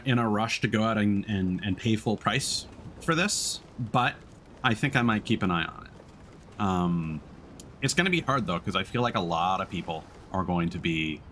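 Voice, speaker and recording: A man giving casual let's-play commentary as he streams a game, thinking aloud in a halting way. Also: fairly low pitch, a bit bright, slight background noise. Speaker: streamer playing a video game.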